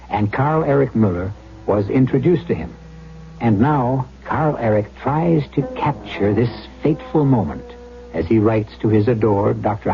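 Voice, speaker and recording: A man giving dramatic scripted narration in the style of 1970s radio drama. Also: lowish voice. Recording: muffled old radio recording, mains hum.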